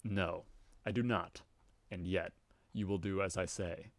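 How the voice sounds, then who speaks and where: A man's voice: deep voice, steady tone, a little slow, no echo. A man voicing a cold, commanding male troll who speaks in stiff, formal orders. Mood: stern.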